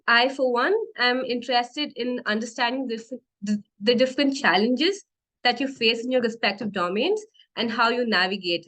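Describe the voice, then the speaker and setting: A woman moderating a virtual panel session, speaking in a measured way with frequent fillers over a video call. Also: a little high, fairly bright tone. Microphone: computer mic on video call.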